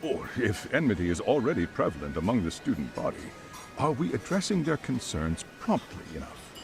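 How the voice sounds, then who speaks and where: A man's voice: fairly low pitch, huge pitch swings, brisk, creaky, over music, exaggerated voice. An actor playing a polite, formal middle-aged private school chairman in a video game cutscene, speaking in careful, wordy sentences. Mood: earnest, rehearsed.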